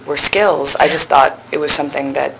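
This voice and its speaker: A young woman in her twenties with a low, resonant voice, a drama graduate student answering interview questions thoughtfully, with frequent pauses and restarts. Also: steady tone, gravelly, fairly bright tone, a little hiss or hum, low-quality recording. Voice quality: low voice with strong body resonance. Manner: thoughtful, hesitant, many fillers and restarts. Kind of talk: reflective conversational interview.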